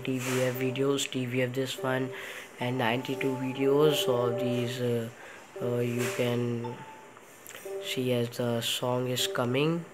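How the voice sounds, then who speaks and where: A man with an Indian accent giving an unscripted step-by-step tech demonstration in a halting, repetitive way. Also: mid-range voice, low-quality recording. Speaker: tech tutorial presenter. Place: indoor room in a home, in front of a TV.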